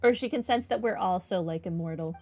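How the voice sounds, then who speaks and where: A woman's voice: lowish voice, fairly quick, darkish tone. A woman giving casual, reactive commentary while playing through a video game. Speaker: game streamer.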